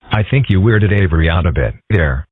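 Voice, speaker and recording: A man voicing dry, understated nerdy schoolboys in an amateur comic-strip dub, with a husky voice. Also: sits low, expressive, a little fast, dry recording. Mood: dry, mild. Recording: muffled, phone-like lo-fi audio.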